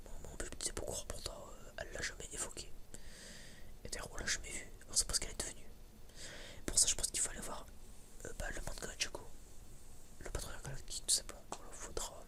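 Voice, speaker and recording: A young man with a French accent whispering as an ASMR video host, rambling hesitantly and often repeating himself. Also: fast talker.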